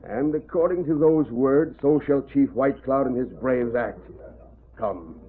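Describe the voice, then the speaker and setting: Man playing a slow, solemn, vengeful old chief. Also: mid-range voice, narrow, tinny audio, lightly acted. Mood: grim, vengeful. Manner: solemn, formal, halting broken English. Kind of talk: old-time radio drama acting. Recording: noisy, band-limited vintage radio recording.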